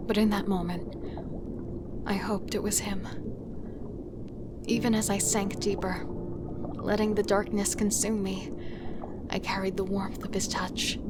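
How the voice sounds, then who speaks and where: A woman playing a remorseful, tender serial killer, narrating a horror audio drama in a soft, intimate, partly whispered delivery. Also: medium pitch, heavy background noise, natural voice. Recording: loud music bed.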